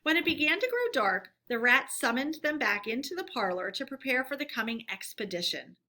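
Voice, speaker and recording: Bright-voiced woman reading a children's story aloud. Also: sits high, big pitch swings, fairly quick.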